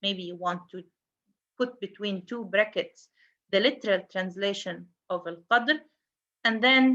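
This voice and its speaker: A woman's voice: medium pitch, pushed and bright, dull audio. A woman with an Arabic accent, a native Arabic speaker, lecturing on translation and explaining step by step, often restarting her words. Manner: explanatory, repeats and restarts words, switches between English and Arabic. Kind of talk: university lecture.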